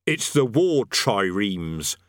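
A man voicing a smug, rough-spoken army sergeant who gloats with cheerful menace. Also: lowish voice, very animated, slow, no echo, clean studio sound. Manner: coarse colloquial speech, gloating, cheerful menace.

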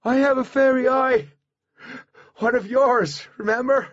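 Man voicing a high-pitched, self-assured teenage boy genius. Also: fairly even intonation, measured pace, a bit bright, no echo, dull audio, heavily acted. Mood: resigned, intense. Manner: formal, precise, intense. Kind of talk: audiobook character voice.

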